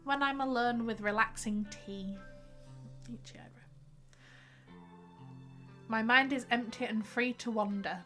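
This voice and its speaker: A woman's voice: on the high side, measured pace, long pauses, a bit bright, soft background music. A woman reading out a friendly middle-aged village woman's game dialogue in a plain voice. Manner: plain read-aloud dialogue.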